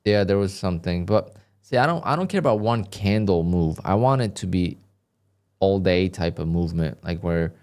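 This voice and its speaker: A man doing live commentary on his trading session, talking to viewers in a flat, dry way that sounds a bit annoyed even when he is content. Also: deep voice, normal speed. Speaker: day trader livestreamer. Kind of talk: live stream commentary, reading donations.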